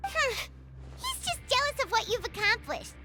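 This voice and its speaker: An actress playing a tiny, chirpy fairy companion in a video game, squeaky and childlike, loyal and easily indignant. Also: female voice, very animated, a little slow, loud music behind the voice, full character voice.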